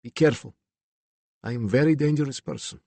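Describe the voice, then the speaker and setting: A man voicing a young, calm and dangerous Yugoslavian gunman with a Slavic accent and clipped broken English, in an audiobook. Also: mid-range voice, fairly quick, no echo, voice pushed up, lightly acted. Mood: cool, matter-of-fact. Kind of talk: audiobook character dialogue.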